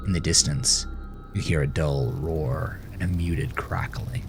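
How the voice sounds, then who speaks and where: A man narrating a horror story with a grave, deliberate, suspenseful delivery. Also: extremely low pitch, steady tone, creaky, soft background music. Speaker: author and narrator of horror podcast. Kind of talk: dramatic second-person horror story narration.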